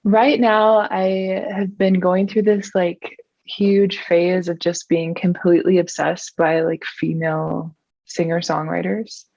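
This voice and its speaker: Gravelly New woman, chatty. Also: fairly low pitch, flat delivery, slow pace, darkish tone, no room sound, muffled recording. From New Zealand. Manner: chatty, frequent "like" and "um" fillers. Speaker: singer-songwriter guest. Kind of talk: casual interview chat.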